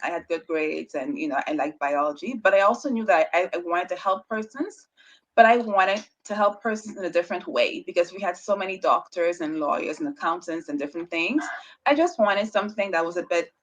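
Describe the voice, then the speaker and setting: A woman's voice: lowish voice, fairly quick, lo-fi sound. A woman researcher, a guest on an interview show, telling her story casually with a stutter, repeated words and frequent laughs. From Bahamas.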